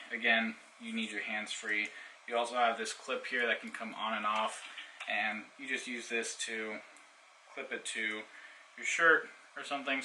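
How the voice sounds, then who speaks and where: A man with a very bright, cutting voice on the lower side walks through a product in a casual, hands-on demonstration. He explains things informally, step by step. Some background noise sits behind him. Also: rough recording. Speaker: product reviewer.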